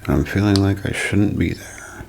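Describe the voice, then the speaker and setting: Man reading as a calm, awestruck woman, hushed and creaky. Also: deep voice, a little hiss or hum. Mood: calm awe. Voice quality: breathy, hushed half-whisper. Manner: slow, deliberate. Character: calm, awestruck woman eyewitness recounting a sighting. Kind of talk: ASMR role-play storytelling.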